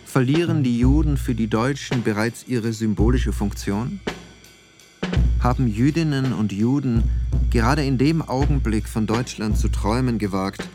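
An actor playing a grave essayist narrator in a radio play, measured and rhetorical. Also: male voice, mid-range voice, close to an everyday voice. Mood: grave, questioning. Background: music with drums.

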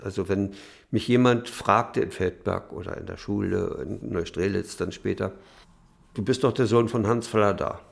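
Older man, very gravelly low voice. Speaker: interviewee, writer's son. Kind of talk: personal recollection.

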